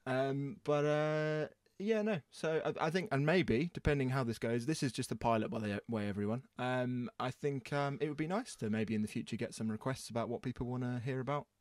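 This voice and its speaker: A man's voice: mid-range voice, brisk, few pauses, dry recording, clean studio sound. A man co-hosting a podcast in casual, playful banter with mock-indignant teasing. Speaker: podcast host.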